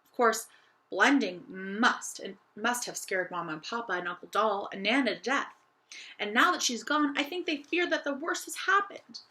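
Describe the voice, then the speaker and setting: Woman acting a storybook doll girl, young, high-pitched, bright, eager, earnest, confiding, adventurous. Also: lively intonation, moderate pace. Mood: earnest, thoughtful. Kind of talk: children's audiobook character voice.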